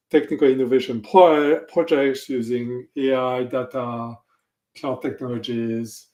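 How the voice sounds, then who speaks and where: A man's voice: medium pitch, dark tone. A man with a French accent answering questions as an interview guest, hesitant with many fillers and small chuckles. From France. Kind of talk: casual interview chat. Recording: echoey room.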